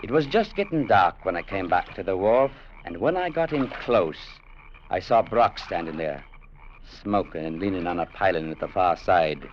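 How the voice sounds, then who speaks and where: An actor playing a firm, plainspoken young clerk who also narrates, in 1940s radio drama style on an old recording. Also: male voice, deep voice, lively intonation, normal speed, dull audio, a little hiss or hum. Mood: narrating. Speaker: narrator and lead.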